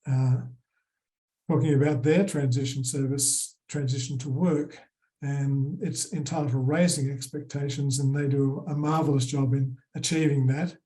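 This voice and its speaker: Australian male presenter, warm dark timbre, steady formal delivery. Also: medium pitch, moderate pace, low-quality recording. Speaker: conference presenter.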